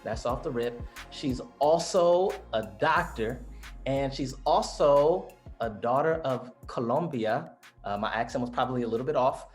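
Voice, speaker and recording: A man's voice: medium pitch, unhurried, soft background music. A man hosting a podcast interview over a video call, playful and emphatic, joking easily with his guest. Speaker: podcast host. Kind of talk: casual interview chat.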